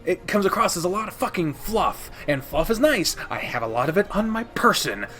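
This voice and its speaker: A man's voice: high voice, very animated, a little fast, bright, forceful tone, faint music behind. A man giving a casual, opinionated countdown review of games, rambling and emphatic with plenty of swearing. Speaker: video host and game reviewer.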